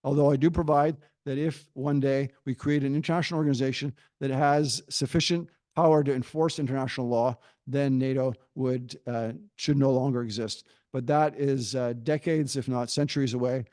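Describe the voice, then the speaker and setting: A middle-aged man, a professor, giving a prepared argument at a panel in a measured way, pausing often with fillers. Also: medium pitch, steady tone, darkish tone, no room sound, studio quality. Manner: measured, pauses with frequent fillers.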